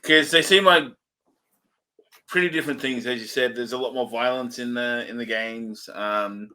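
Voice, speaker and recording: A man co-hosting a film review show, speaking casually in a tentative, measured way. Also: medium pitch, pushed and bright.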